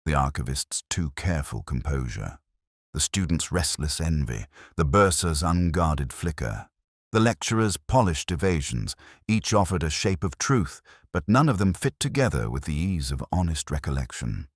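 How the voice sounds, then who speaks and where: Very deep-voiced man narrating a detective mystery. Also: big pitch swings, slightly rough, no echo, clean studio sound. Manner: measured, literary, reflective delivery. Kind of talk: first-person detective mystery audiobook narration.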